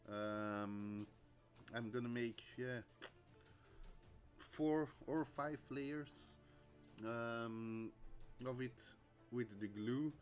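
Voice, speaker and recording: A man's voice: medium pitch, very slow, long pauses. A man with a Dutch accent, a native Dutch speaker recovering from a flu, chatting casually and chuckling while he streams his mask making. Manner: relaxed, chuckles often, reads chat aloud. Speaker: cosplay mask maker streaming. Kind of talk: casual livestream chat while crafting. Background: faint music.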